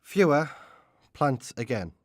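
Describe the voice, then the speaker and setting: A man plays an impatient, opinionated spirit of a season in a radio play. His voice is mid-pitched and closely, dryly recorded, with a blunt way of airing complaints. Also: sing-song, slow, no echo, clean studio sound. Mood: aggrieved. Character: opinionated, impatient male season spirit who defends bees. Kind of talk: radio drama acting.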